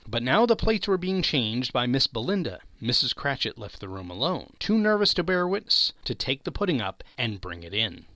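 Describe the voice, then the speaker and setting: A man narrating classic literature as a dramatic reading, theatrical, emphatic and expressive. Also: mid-range voice, normal speed, few pauses, no echo. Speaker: podcast host and narrator.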